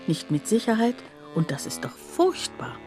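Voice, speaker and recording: An actress playing a troubled factory worker, telling her story in a soft, husky, halting and confiding radio drama monologue. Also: fairly high pitch, big pitch swings, music in the background. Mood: bewildered, dismayed. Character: troubled female factory worker recounting her life, bewildered, plainspoken.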